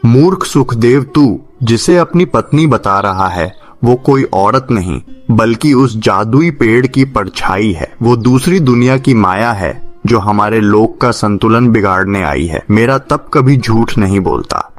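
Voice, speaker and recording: A man's voice: on the low side, animated, measured pace, hardly any pauses. A man playing a wrathful, accusing holy man in a cartoon tale, scolding and denouncing grandly. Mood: accusing, angry. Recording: music under the voice.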